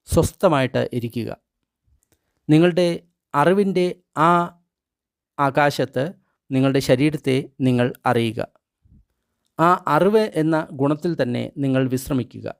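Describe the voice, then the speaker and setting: A man reading guided meditation instructions in short, measured phrases with pauses between them. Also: mid-range voice, creaky, smooth, no echo, clean studio sound. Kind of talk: guided meditation, scripted instruction read aloud.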